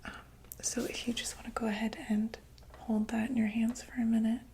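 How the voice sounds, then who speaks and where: A woman whispering breathily in an ASMR medical roleplay, explaining things as a calm doctor in a gentle, hesitant, clinical way. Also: fairly high pitch, fairly quick, a little hiss or hum. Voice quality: breathy, mostly unvoiced whisper. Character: calm, attentive doctor to monsters.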